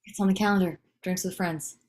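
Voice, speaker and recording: A woman's voice: fairly low pitch, steady tone, fairly quick, darkish tone, lightly acted. A woman playing a frazzled, firm young wife and mother of toddlers in a comedy table read, speaking in a dry, weary conversational way.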